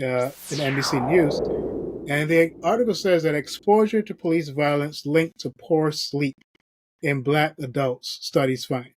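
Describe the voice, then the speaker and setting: A man hosting a podcast, earnestly reading from an article and filling pauses with "you know". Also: a little high, no room sound. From Barbados. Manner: earnest, reads aloud from an article with frequent fillers. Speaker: podcast host. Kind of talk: podcast discussion.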